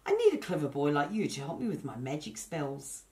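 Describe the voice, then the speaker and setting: A woman's voice: sits low, huge pitch swings, brisk, warm tone. A woman voicing a proud, powerful male sorcerer in a storybook, boastful and commanding in manner. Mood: grand, inviting.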